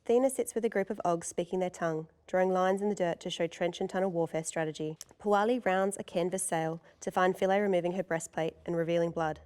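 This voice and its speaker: A woman's voice: lowish voice, fairly even intonation, quick pace, few pauses, a little mellow, clean tone. A woman reading scripted stage directions as the narrator of a table read.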